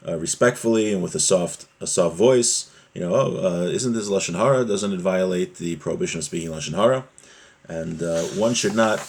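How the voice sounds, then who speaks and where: A man giving a religious lesson, reading Hebrew text aloud and explaining it phrase by phrase in English. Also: lowish voice. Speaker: religious teacher.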